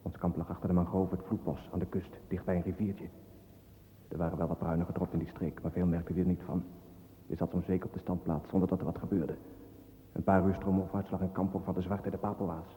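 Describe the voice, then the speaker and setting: A man plays a callous young ex-soldier in a radio drama. His voice is dark and fast, coarse and matter-of-fact, ringing with heavy echo. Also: sits low, flat delivery, lightly acted. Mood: callous boasting. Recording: long echoing reverb.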